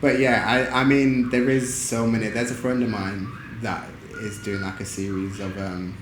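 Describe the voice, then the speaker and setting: A man's voice: fairly low pitch, moderate pace. A man from Huddersfield, chatting casually as an interview guest with lots of fillers. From Huddersfield, England. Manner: relaxed, rambling, lots of fillers and "do you know what I mean". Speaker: photographer guest. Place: studio room. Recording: echoey room with clear background noise.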